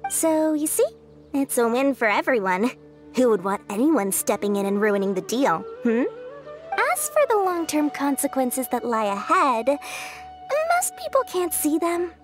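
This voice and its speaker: Small greedy game merchant, high voice, lively swooping pitch, theatrical sales patter, faint music. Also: female voice. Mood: sly, persuasive. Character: small, shrewd, money-loving female merchant, theatrical salesperson. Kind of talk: video game voice acting.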